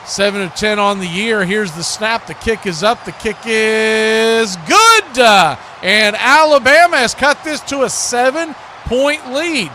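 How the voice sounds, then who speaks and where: A man's voice: high-pitched, expressive, unhurried, pushed and bright, slight background noise. A man doing live radio play-by-play of a football game, calling the action in clipped, punchy bursts. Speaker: play-by-play sports announcer.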